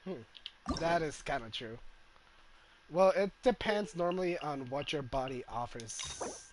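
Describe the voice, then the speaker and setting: A man's voice: a little high, expressive, measured pace, a little hiss or hum, rough recording. A man giving casual, musing and hesitant commentary as he streams a game playthrough. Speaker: gaming streamer. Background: game music.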